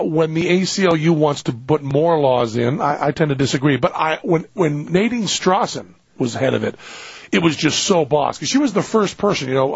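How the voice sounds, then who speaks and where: A man with a Western Massachusetts accent hosting a radio show, telling stories in lively, animated bursts. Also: fairly high pitch, vocal fry, husky, dry recording. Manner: animated storyteller, acts out quotes. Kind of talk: casual radio chat. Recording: dull radio audio.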